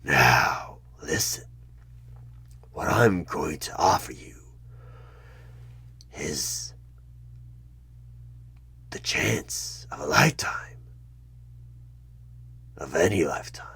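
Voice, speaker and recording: A man playing a sinister devilish tempter posing as a job interviewer in a horror ASMR roleplay, with a gravelly, breathy voice that often drops into a whisper and a menacing, persuasive manner. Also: extremely low pitch, expressive, bright, forceful tone, full character voice. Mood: tempting, sinister. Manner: slow, menacing, persuasive, drawn-out pauses.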